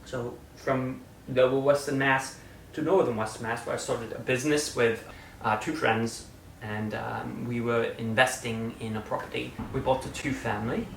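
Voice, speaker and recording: A man hosting his own channel, chatting through a casual update in a playful, theatrical way that jumps between loud and quiet. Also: medium pitch, steady tone, moderate pace, vocal fry. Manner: slips into a put-on British-style accent at times. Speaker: channel host. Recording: roomy, distant mic, faint room noise.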